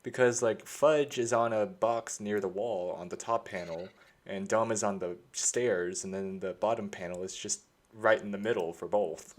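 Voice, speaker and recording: A man's voice: on the low side, fairly even intonation, moderate pace. A man chatting casually as a podcast co-host on an online voice call. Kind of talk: casual chat.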